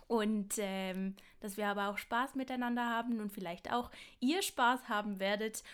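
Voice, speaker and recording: A woman's voice: mid-range voice, clear, resonant tone. A young woman from Switzerland with a Basel accent, co-hosting a casual podcast over a remote call and speaking hesitantly with laughs and apologies about her German. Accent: Basel Swiss German. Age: young adult. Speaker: podcast co-host. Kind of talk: casual chat.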